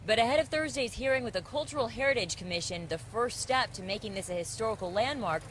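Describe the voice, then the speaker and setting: A woman's voice: on the high side, expressive, fast, a bit bright, a little hiss or hum. An American woman working as a television news reporter, delivering a live broadcast report from outdoors. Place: outdoors on a city street by a demolition site.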